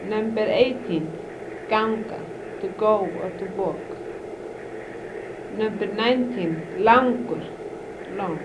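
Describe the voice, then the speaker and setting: A woman from Iceland whose first language is Icelandic, slowly reading a numbered word list aloud and glossing each word. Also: medium pitch. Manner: slow, careful, deliberate reading. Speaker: language informant. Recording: dull old tape with clear hiss.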